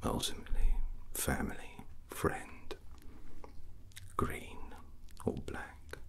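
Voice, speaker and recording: A man playing a drunken, brooding, scheming fantasy prince in a whispered ASMR roleplay, halting and musing with a breathy, hushed voice. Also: rumbling low, exaggerated voice. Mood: ominous, musing. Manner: slow, halting, musing, with long pauses.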